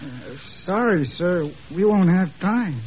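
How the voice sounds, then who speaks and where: A man playing a cool, tough Japanese-American infantry soldier with terse, measured lines in a 1950s radio drama. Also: high voice, lively intonation. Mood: cool, reserved. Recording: muffled, noisy old radio recording.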